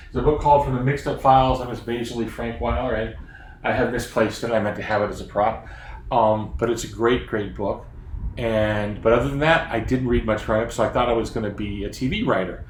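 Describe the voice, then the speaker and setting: A man in his fifties, a children's book author from Florida, chatting casually and joking at his own expense from his home office. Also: mid-range voice. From Florida, USA. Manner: chatty, self-deprecating, joking. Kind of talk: casual chat to young readers. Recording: roomy office sound, faint background noise.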